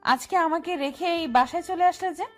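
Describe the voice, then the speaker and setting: A woman's voice: very high-pitched, moderate pace, a bit bright. A woman playing a caring, playful young college student in a romantic audio story. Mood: gentle, questioning. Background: background music.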